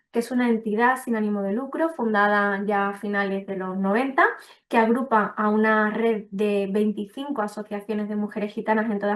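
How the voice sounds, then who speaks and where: Hesitant woman moderating an online event. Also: mid-range voice.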